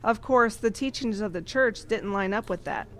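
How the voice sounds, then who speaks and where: A woman playing a kindly, patient nun who teaches through measured storytelling in an audio drama. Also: mid-range voice, a little hiss or hum, close to an everyday voice. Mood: explaining. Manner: didactic, measured.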